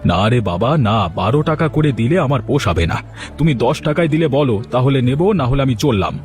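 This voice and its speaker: A man's voice: mid-range voice, animated, moderate pace, darkish tone, natural voice. A man playing a talkative, coaxing biryani eatery owner and doting husband in a Bengali cartoon. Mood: bargaining. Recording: music behind the voice.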